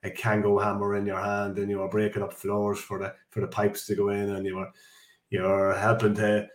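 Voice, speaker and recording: A man with an Irish accent, in his forties, talks through his working life in a loose, rambling way, full of ums and restarts. His voice sits low and stays flat, with little rise or fall. The recording has a noticeable roomy echo around him. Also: fairly quick. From Ireland. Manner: chatty, rambling, with many fillers and restarts. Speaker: guest, construction business director. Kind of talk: casual podcast interview. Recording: echoey room.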